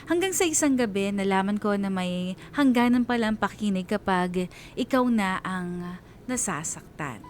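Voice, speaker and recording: A woman narrates a scripted first-person drama at a medium pitch. A faint rumbling noise sits under her voice. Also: lively intonation, moderate pace. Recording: clear rumbling background noise.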